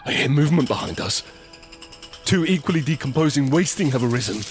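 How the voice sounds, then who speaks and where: A man's voice: fairly high pitch, animated, a little fast, close to an everyday voice. A man playing a tense survivor who narrates a zombie apocalypse audio drama in short, suspenseful phrases. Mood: tense, hushed. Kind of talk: first-person dramatic narration with acted dialogue. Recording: background music under the voice.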